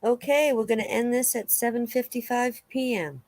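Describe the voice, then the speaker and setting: A woman playing a sympathetic, matter-of-fact police interviewer in a low-key dramatic reading of a transcript. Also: moderate pace, darkish tone, no room sound, lightly acted.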